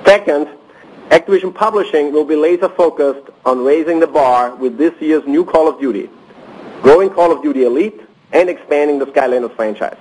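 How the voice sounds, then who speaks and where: A man reading prepared financial results on a company conference call, in a measured, formal, businesslike way. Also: on the high side, a little mellow, slightly rough, low-quality recording.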